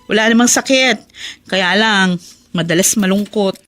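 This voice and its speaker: A woman acting a caring, worried adult daughter in a Filipino radio drama, earnest, respectful and gently scolding. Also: medium pitch, unhurried, over music, close to an everyday voice. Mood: earnest pleading.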